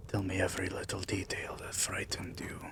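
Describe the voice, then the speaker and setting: A man playing a possessive, tender yet menacing vampire king in an intimate ASMR roleplay, murmuring softly and dipping into whispers. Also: low voice, completely flat delivery. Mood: soothing, possessive. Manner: soft, slow, breathy murmurs dipping into whispers.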